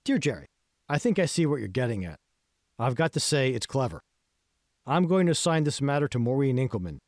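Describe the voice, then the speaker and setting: A man narrator reads a corruptible male prosecutor in an audiobook, measured and conversational, at a middling pitch. The sound is dry and close. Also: big pitch swings, dry recording. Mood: obliging. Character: compliant male prosecutor, sceptical, then obligingly corrupt.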